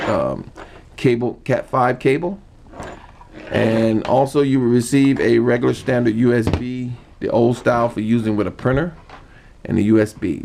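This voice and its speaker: A man giving an informal how-to demonstration, explaining step by step in a halting manner. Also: medium pitch, vocal fry, a little mellow, a little hiss or hum. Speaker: hobbyist demonstrator. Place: indoor room.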